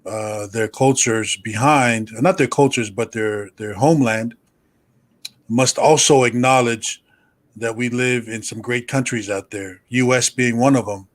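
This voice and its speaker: A man's voice: mid-range voice, fairly even intonation, measured pace. Affable man hosting an interview. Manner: affable, reflective, ends points with "right?". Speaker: interview host. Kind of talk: conversational interview.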